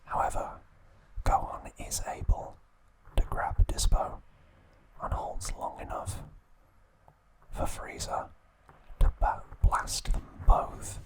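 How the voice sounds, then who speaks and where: Man whispering softly for ASMR. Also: low-quality recording. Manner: soft unvoiced whisper, slow and even; brief aside to his cat. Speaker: ASMR host.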